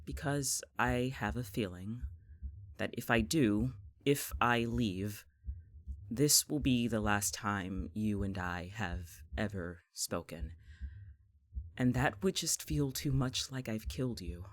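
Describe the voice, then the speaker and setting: A man's voice: lowish voice, slow, dry recording. An actor playing a sardonic, stubborn young man in an audio drama, speaking in a dry, wryly sarcastic way with a wounded undertone. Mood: tender, sad. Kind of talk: naturalistic audio drama acting.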